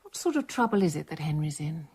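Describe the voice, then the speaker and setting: Husky, shrewd gentlewoman. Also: deep voice, sing-song, quick pace, full character voice. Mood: shrewd, teasing. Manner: wry, knowing, composed. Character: shrewd, calm, supportive gentlewoman confidante. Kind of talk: radio drama acting.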